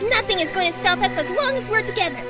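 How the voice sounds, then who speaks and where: A woman voicing an excited little girl in a visual novel game, gushing and devoted to her friend. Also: very high voice, fast talker. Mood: eager, excited. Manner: gushing, exclamatory. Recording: music bed under the voice.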